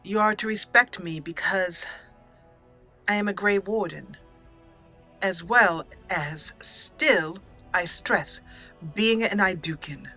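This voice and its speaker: A woman hosting a gaming channel with a bright, forward voice at a middle pitch. She chats through a roleplay let's play, giggly and informal, sometimes reading lines in character. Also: slow, pauses often, faint music behind.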